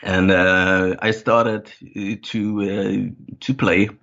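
A man's voice: fairly low pitch, little pitch movement, drawn-out words, vocal fry, a bit bright, muffled recording. A middle-aged man from Denmark, a native Danish speaker, talking in a casual interview with many fillers and restarts. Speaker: guest.

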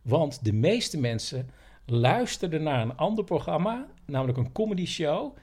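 A man with a husky voice chatting casually as a podcast host, hesitantly searching for his words. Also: fairly high pitch, sing-song, normal speed, a little mellow. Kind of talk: casual storytelling chat.

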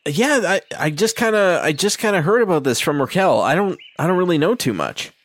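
A man's voice: sits high, sing-song, fairly quick. A man playing a hesitant, easygoing sixteen-year-old village boy in a tabletop game, stammering politely through his words. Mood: sheepish, casual.

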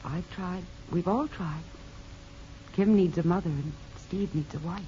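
A woman's voice: lowish voice, measured pace, mellow, slight background noise, natural voice. An American woman playing a sympathetic, candid married aunt, speaking expressively and confidingly in 1950s radio drama style. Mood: sympathetic, rueful. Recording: muffled old radio broadcast.

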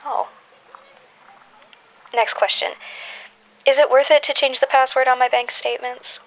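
A woman's voice: high voice, level tone, unhurried, dull audio. A woman playing a guarded, wry superhero in a naturalistic audio drama, speaking tersely and dryly. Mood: dry, curious. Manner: terse, dry delivery. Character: guarded, wry, wounded female superhero with dangerous gaze.

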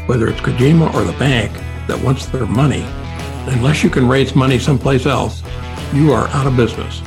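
An older man with a gravelly, mid-pitched voice tells stories conversationally. He is plainspoken and matter-of-fact, and guitar music plays clearly behind him. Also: brisk. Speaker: podcast co-host, former architecture executive. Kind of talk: conversational storytelling. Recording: voice clips over music bed.

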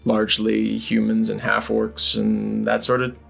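A man narrating a tabletop game as game master, in an unhurried, halting way. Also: lowish voice, monotone, creaky, fairly bright tone, faint music behind.

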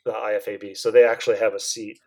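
A man's voice: on the low side, flat delivery, quick pace, a little mellow. A man co-hosting a casual podcast, explaining news at length with restarts and fillers. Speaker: podcast co-host. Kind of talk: casual chat.

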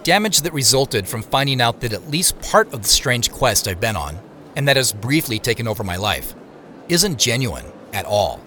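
An actor playing a weary, wry man who narrates his own secret quest in an audio drama, in a measured way. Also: mid-range voice, sing-song, bright, forceful tone, a little hiss or hum. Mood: regretful. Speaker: narrator and lead character. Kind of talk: first-person audio drama narration and dialogue.

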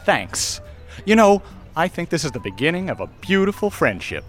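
A man's voice: big pitch swings, moderate pace, fairly bright tone, soft background music. A man playing an uptight nerdy boyfriend. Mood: eager. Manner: awkward, eager, swoops in pitch. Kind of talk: audio drama acting.

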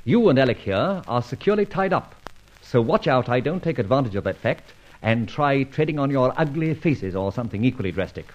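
An actor playing a suave, mocking gentleman adventurer, sardonic and mock-courteous, in an old radio drama. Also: medium pitch, very animated, fairly quick, close to an everyday voice. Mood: taunting, confident. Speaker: lead and narrator of radio serial. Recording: muffled old radio recording.

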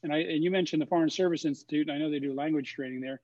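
A man hosting a question-and-answer session, speaking haltingly with many fillers, as if over a video call. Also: on the high side, a little mellow, no room sound. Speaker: host of a webinar Q&A. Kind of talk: casual moderated Q&A. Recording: dry, dull lo-fi sound.

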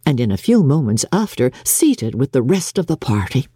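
A woman with a husky voice giving a measured, theatrical, scripted narration of a classic novel. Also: very deep voice, big pitch swings, dark tone, no room sound, studio quality. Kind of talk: dramatic scripted audiobook narration.

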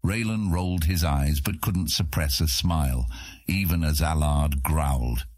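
A man's voice: rumbling low, measured pace, a little mellow, breathy edge, dry recording, studio quality. A man narrating a scripted fantasy audiobook in a dramatic storytelling style.

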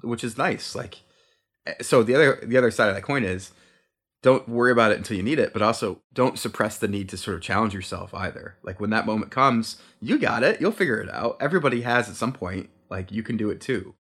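A man's voice: fairly low pitch, a little fast, husky. A man, a guest on a podcast, chatting casually and encouragingly about creative work. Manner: relaxed, encouraging, with fillers and restarts. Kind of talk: casual advice chat.

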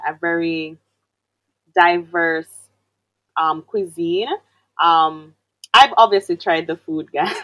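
Chatty woman host, slightly dull sound. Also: on the low side. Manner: chatty, enthusiastic questions. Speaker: interview host. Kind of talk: casual interview.

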